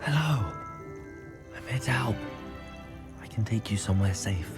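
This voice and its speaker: A man's voice: fairly low pitch, animated, normal speed, over loud music, close to an everyday voice. A man playing a brave, polite teenage wizard hero in a video game, with an earnest manner. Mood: reassuring. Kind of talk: video game character dialogue.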